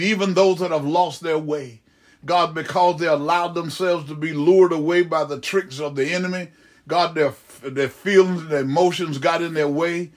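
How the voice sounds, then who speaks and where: A man's voice: fairly high pitch, fairly bright tone. A man preaching in fervent, improvised Pentecostal prayer, pleading repetitively and calling out to God mid-phrase.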